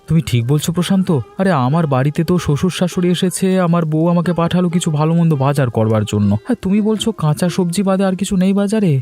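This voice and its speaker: Man playing a quick, high-voiced, harried husband. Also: lively intonation, warm tone, soft background music. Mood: friendly. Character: hard-up, harried young husband, henpecked but dutiful. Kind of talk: Bengali moral cartoon dubbing.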